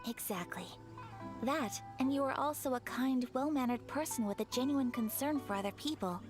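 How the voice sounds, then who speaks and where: A composed young noblewoman voiced by a woman, polite and formal in every phrase. Her voice sits a little high. Game music plays clearly behind her. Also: moderate pace, lightly acted. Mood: gracious. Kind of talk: video game voice acting.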